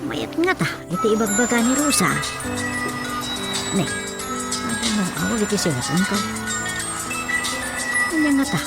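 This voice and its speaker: Nagging Ilocano woman, radio comedy drama, teasing and sharp-tongued, slightly high voice, music underneath. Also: very animated, moderate pace, long pauses. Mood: musing. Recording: music behind the voice.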